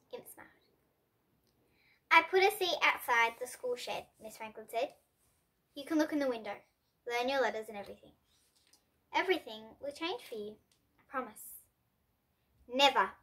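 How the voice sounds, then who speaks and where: A young reader, presented as a child, reading a children's picture book aloud with expressive delivery and lightly voiced characters. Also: female voice, fairly high pitch. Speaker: storybook reader.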